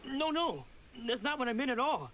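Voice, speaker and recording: Polite, eager young disciple. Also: male voice, very high-pitched, very animated, moderate pace, bright, forceful tone, heavily acted. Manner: courteous, slightly flustered. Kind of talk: game dialogue.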